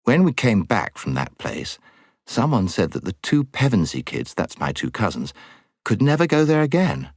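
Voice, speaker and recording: A man's voice: lowish voice, huge pitch swings, normal speed, no room sound, studio quality, voice pushed up, heavily acted. Man as an earnest, bossy English schoolboy. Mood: wondering, hopeful. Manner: solemn, know-it-all. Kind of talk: audiobook character voice.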